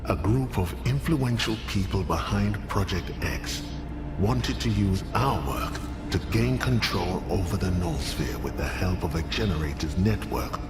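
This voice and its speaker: A deep, echoing, solemn scientist. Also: male voice, lively intonation. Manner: measured, grave, expository. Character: calm, solemn male scientist of a secret collective consciousness. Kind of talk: scripted video game cutscene acting. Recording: echoing voice with music underneath.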